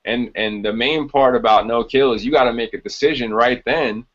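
A man's voice: mid-range voice, normal speed, a bit bright. A man in his thirties co-hosting a casual podcast from home, explaining things informally over a video call. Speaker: podcast co-host. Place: small room at home. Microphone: video call on computer. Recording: dull, lo-fi video call audio.

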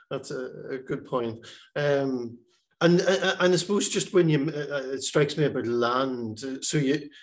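A middle-aged man hosting an interview over a video call, warmly hesitant with many pauses and restarts. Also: mid-range voice. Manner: halting, many fillers and restarts, encouraging. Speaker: host. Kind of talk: interview host. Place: home room.

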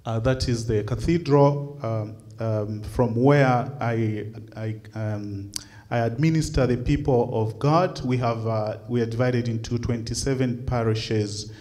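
A middle-aged man from Zambia speaks as a bishop addressing a conference, his delivery slow and deliberate. He fills gaps with uh and um, and his voice sits at an ordinary male pitch. He is heard through a podium microphone in a lecture hall. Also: steady tone, warm tone. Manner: measured, with frequent uh and um pauses. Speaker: bishop giving a conference talk.

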